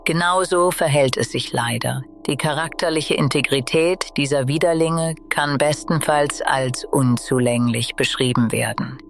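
A man's voice: fairly high pitch, measured pace, vocal fry, few pauses, a bit bright, soft background music. A man playing a pompous, ironic narrator of a comedy radio serial, delivering wordy scripted narration. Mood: mock-serious. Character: pompous, ironic male storyteller.

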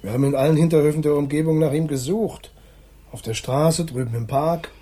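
A man playing an exasperated, absent-minded middle-aged father of two in a German radio play, with a husky voice. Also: on the high side, fairly even intonation, brisk, warm tone. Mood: regretful, subdued.

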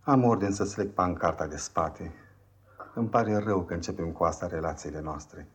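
An actor playing a resigned, dry schoolmaster in a 1950s radio play, speaking in a measured, matter-of-fact way. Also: male voice, low-pitched, lightly acted. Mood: regretful. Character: resigned, dry male schoolmaster showing a new pupil around. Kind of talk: 1950s Romanian radio theatre acting.

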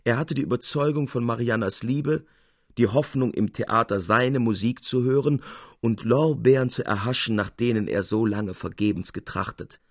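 A man reads classic literature as a storyteller. His voice sits at a medium pitch, and his delivery is measured yet expressive. The sound is close and dry, free of room echo. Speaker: audiobook narrator. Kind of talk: literary scripted narration.

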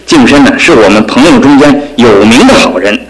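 Man acting chatty flatterer in a radio play, super polite, voice goes up and down a lot. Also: medium pitch, a little fast, close to an everyday voice, rough recording. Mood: effusive praise. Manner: effusive, polite, chuckling hesitations. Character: smooth-talking, flattering male housemate, effusively sociable.

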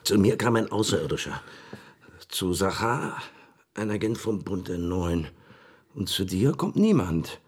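Intense theorist with wide-swinging husky voice. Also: male voice, low-pitched, very animated, exaggerated voice. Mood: tense, brooding. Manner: intense, deliberate, dramatic phrasing. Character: agitated, insistent man arguing wild theories. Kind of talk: radio drama acting.

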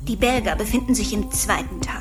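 An actress playing a spiteful, gloating crow goddess of war with theatrical relish in a radio drama. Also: female voice, mid-range voice, brisk, over music, natural voice.

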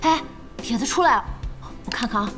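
A woman acting a curious young female boss in a radio drama, expressive, inquisitive and playfully teasing. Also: a little high, fairly quick, natural voice. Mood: thoughtful. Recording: studio voice over faint music.

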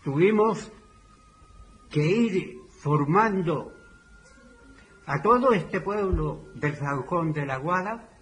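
Older Chilean male, high-pitched, giving halting oral-history testimony at an unhurried pace. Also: slight background noise, low-quality recording. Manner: deliberate, halting phrases with pauses. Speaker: former community leader giving testimony.

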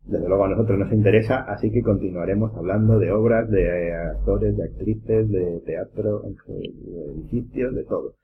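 Male podcast host, Castilian accent, low register, rambling and informal, on a muffled lo-fi recording. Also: steady tone, moderate pace, hardly any pauses. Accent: Castilian Spanish. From Spain. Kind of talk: casual round-table chat. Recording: dull lo-fi sound, background noise.

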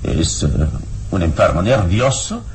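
An actor playing a calm, wise adventurer hero with a solemn, deliberate delivery in a radio drama. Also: male voice, low voice, slight background noise. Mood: calm, pondering. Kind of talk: dramatic radio narration and acting. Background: dramatic music.

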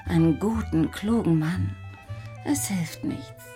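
An actress playing a dreamy young stage performer in a radio play, wistful and lilting. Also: female voice, fairly low pitch, takes it slowly, dark tone, faint music behind. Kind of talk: German radio play acting.

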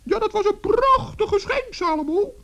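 A man voicing a cheerful, fussy, kindly little gnome in squeaky falsetto for a children's radio play. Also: lively intonation, moderate pace, a bit bright, muffled recording, full character voice.

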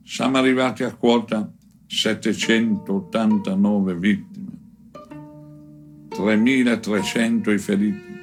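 A man reading a first-person chronicle aloud in a measured, deliberate way, with frequent pauses between phrases. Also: medium pitch. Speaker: reader of a book chapter. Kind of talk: narrated audiobook-style reading of a first-person war chronicle.

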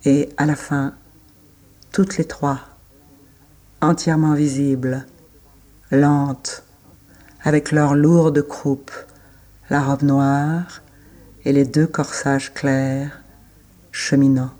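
A man reciting literary prose for a radio play, measured, pausing between short phrases. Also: fairly high pitch, flat delivery, dark tone. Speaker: reader.

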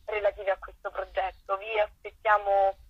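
A woman's voice: mid-range voice, flat delivery, normal speed, slightly rough, no echo. A woman giving earnest, articulate and detailed explanations as a theatre director in an interview, in muffled phone-in sound.

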